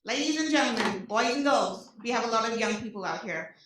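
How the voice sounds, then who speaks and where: A woman with an Indian accent gives an animated talk to a room of service providers, full of rhetorical questions and frequent "okay?" check-ins. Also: a little high, fairly quick, slight background noise. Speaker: nonprofit program presenter. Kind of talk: energetic public talk to an audience. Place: large meeting room. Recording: echoey hall, speaker far from mic.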